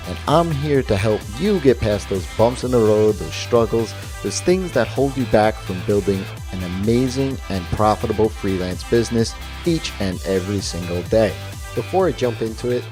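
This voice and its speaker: A man's voice: lowish voice, expressive, moderate pace, music in the background. A middle-aged man hosting a solo podcast, sounding stuffy from a cold and talking casually straight to his listeners. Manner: casual, direct address to listeners. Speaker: podcast host.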